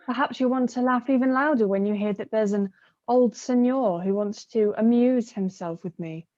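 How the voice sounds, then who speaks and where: A woman's voice: a little high, normal speed, warm tone, lightly acted. A woman playing a bitter, defiant young daughter in a stage play, with a sardonic, mocking manner. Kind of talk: theatrical play acting. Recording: dull muffled video-call audio.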